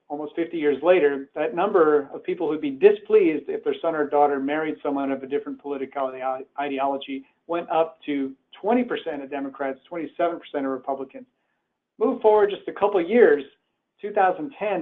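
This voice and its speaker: An earnest, conversational man born in Iran, giving a presentation from home over a call. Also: fairly high pitch. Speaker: webinar speaker. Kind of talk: presentation talk. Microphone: remote call. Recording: tinny phone-like web call audio.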